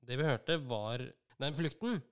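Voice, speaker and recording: A man with a smooth, clean voice at a middle pitch co-hosts a casual podcast. His phrasing is halting, with thoughts assembled as he goes. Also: very animated. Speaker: podcast co-host.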